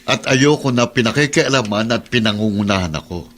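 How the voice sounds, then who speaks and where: A man's voice: mid-range voice, expressive, fairly quick, a bit bright, a little hiss or hum, natural voice. A man playing a proud head of a household in a Tagalog radio drama. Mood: stern, offended.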